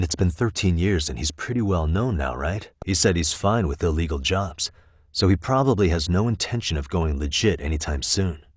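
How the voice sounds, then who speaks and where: A calm private detective in a video game, with a low voice and a close, dry sound. He speaks in a measured, methodical way, as if reasoning through each point aloud. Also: no room sound. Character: calm, analytical male private detective, former lawyer. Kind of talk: English video game voice acting, conversational dialogue.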